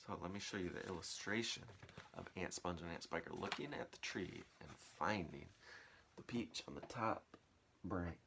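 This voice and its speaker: A man's voice: low-pitched, normal speed, creaky, bright, forceful tone, slight background noise. A man speaking as a teacher, telling a children's story aloud with casual asides. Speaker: teacher reading aloud to pupils.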